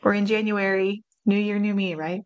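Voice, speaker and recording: A woman's voice: medium pitch, flat delivery, muffled recording. Woman, podcast host, mid-range voice, encouraging, casual chat. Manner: warmly encouraging, quick to praise.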